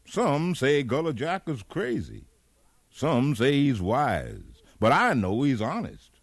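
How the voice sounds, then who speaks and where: An actor playing a devout, fatherly elder among rebel slaves, measured and preacherly in 1950s radio drama style. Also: medium pitch, huge pitch swings, dry recording, clean studio sound, exaggerated voice. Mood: solemn counsel. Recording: remastered 1950s radio broadcast.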